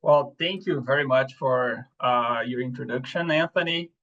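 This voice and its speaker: A man with a Brazilian accent presents an online lecture in a mid-pitched voice. He talks in an easy, relaxed way, often pausing on fillers and restarting his sentences. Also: lo-fi sound. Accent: Brazilian Portuguese. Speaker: presenter, assistant professor. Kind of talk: webinar lecture.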